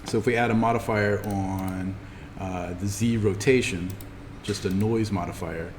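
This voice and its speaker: A man teaching an introductory animation class, talking informally through software steps and thinking aloud as he demonstrates. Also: lowish voice, unhurried. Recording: steady noise behind the voice.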